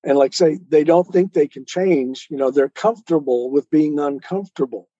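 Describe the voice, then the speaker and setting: Man, husky and mellow, earnest explainer, conversational interview guest, dull roomy audio. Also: a little high, moderate pace. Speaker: guest author. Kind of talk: conversational interview talk on life choices.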